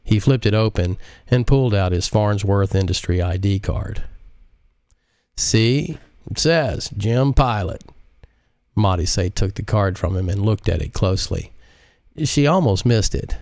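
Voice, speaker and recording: A man reads his own novel aloud in a steady, even storytelling voice. His voice sits on the low side. The sound is close and dry, with no room behind it. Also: normal speed, no room sound. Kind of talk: live-stream audiobook reading.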